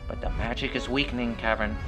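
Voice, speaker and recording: A man voicing a magic puppet in a horror story, calm and secretive, persuading and explaining in an ominous way. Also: medium pitch, quick pace, music in the background, lightly acted. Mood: ominous, solemn.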